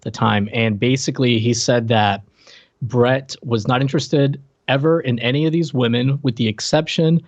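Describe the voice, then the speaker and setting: A man's voice: medium pitch, normal speed. A man co-hosting a podcast, chatting casually with a wry, joking manner about a show. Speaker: podcast co-host. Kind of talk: casual conversational show commentary. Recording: roomy, slightly dull lo-fi audio.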